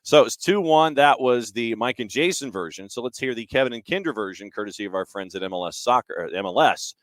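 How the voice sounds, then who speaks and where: A man's voice: medium pitch, lively intonation, fast talker, fairly bright tone, no room sound. A man hosting a sports show, chatting casually and introducing match clips in a self-deprecating way. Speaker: sports talk show host.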